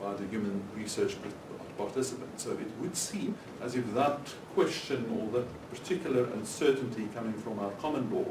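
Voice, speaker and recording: A man's voice: lowish voice, animated, normal speed, few pauses. A man, a legal researcher, giving panel remarks in a hesitant way, with many ums. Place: conference hall. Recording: echoey hall, distant mic, noisy.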